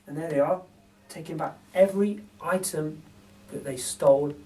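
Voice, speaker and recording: A man narrating a children's story as an expressive storyteller. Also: a little high, normal speed. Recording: distant microphone.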